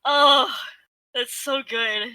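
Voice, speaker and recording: A young woman co-hosting a casual podcast chat, with a youthful, childlike voice and quick, giggly laughter. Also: sits high, steady tone, pushed and bright, slight background noise, rough recording. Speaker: podcast co-host.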